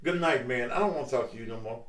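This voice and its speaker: A man's voice: mid-range voice, fast talker, a bit bright, echoey room, far from the mic. A middle-aged man hosting a call-in talk show, taunting and mocking a caller in crude language. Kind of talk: heated call-in radio argument.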